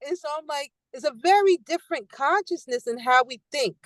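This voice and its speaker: A woman hosting a podcast, speaking in an emphatic, animated casual conversation. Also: high voice, brisk, no echo. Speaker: podcast host.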